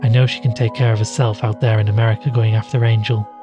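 A man's voice: fairly low pitch, flat delivery, fast, lo-fi sound, lightly acted. Male actor as worried investigator-podcaster, confiding audio drama delivery, music underneath. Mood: uneasy, resolute. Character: anxious male podcaster investigating an occult mystery. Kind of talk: audio drama narration and dialogue. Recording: music behind the voice.

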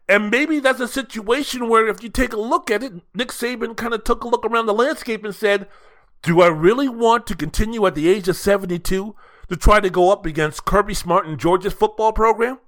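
A man's voice: high voice, fairly quick, a bit bright. A man hosting a sports podcast solo, giving opinionated commentary in an emphatic, theatrical way, with rhetorical questions and repeated phrases.

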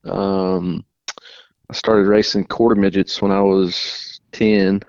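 Low-voiced young man. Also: lo-fi sound, a little hiss or hum. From North Carolina. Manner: relaxed, halting, many restarts. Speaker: race car driver guest. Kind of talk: casual interview answers.

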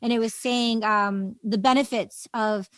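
Woman, interview host, slightly high voice, effusive, repetitive phrasing, conversational, close dry sound. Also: fairly even intonation, measured pace, fairly bright tone, no room sound. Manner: effusive, repeats words, piles up phrases.

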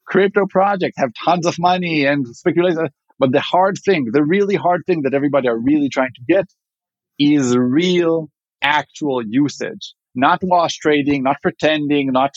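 A man's voice: a little high, normal speed, no echo. Israeli founder, male, casual rambling interview answers, muffled dry mic. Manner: rambling, many fillers and restarts. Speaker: startup founder.

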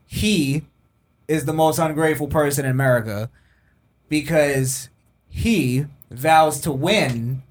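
A man's voice: a little high, takes it slowly. A man co-hosting a podcast, steering the casual chat and giving his opinions bluntly. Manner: opinionated, leads topics. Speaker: podcast co-host.

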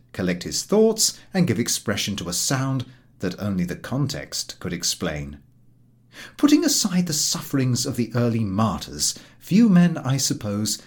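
A man reading a comic first-person literary memoir as an audiobook narrator, in a theatrical, animated way. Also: medium pitch.

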